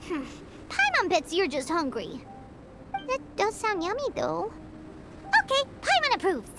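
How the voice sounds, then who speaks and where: A woman voicing a tiny, cheeky, childlike fairy companion in a video game, with a squeaky voice and blunt manner. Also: wildly swooping pitch, a little slow, very noisy, full character voice. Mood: excitable, cheeky. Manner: refers to self in third person, blunt. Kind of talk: video game character dialogue.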